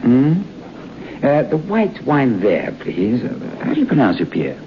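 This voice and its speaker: A curt English hotel guest. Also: male voice, medium pitch, wildly swooping pitch, measured pace, noisy background. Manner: curt, clipped, irritable. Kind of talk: 1940s radio acting. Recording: muffled old radio transcription.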